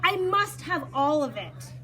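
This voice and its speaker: A woman's voice: high voice, big pitch swings, unhurried, background noise. A woman voicing a grand, admiring male royal treasurer in a children's folktale, speaking emphatically. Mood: impressed.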